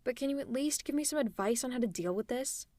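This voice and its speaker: Woman acting as a tough, caring supervillain, high-voiced. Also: animated, quick pace, no echo. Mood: exasperated. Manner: conversational, reassuring, gently teasing. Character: tough, caring female supervillain tending an injured hero. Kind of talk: ASMR roleplay audio drama.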